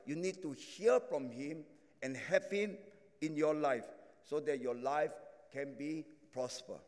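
A man preaching a sermon and sharing his testimony, in non-native English phrasing with halting restarts. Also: fairly high pitch, darkish tone. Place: large echoing hall. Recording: echoing hall.

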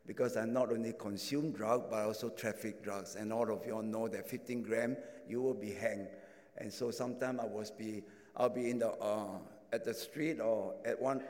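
A man's voice: on the low side, a little mellow. Man preaching in an echoing hall. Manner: non-native English phrasing, halting restarts. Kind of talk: sermon with testimony.

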